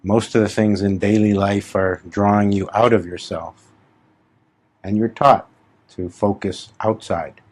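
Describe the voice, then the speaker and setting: A man with a fairly low voice leads a guided meditation. He gives gentle, repetitive instructions in short phrases, leaving unhurried pauses between them. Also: fairly even intonation. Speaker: spiritual teacher leading meditation.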